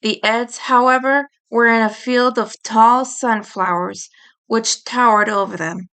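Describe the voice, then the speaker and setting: Bright-voiced woman reading a story. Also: fairly high pitch, steady tone, unhurried, clear, resonant tone. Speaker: audiobook reader. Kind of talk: scripted fan fiction narration.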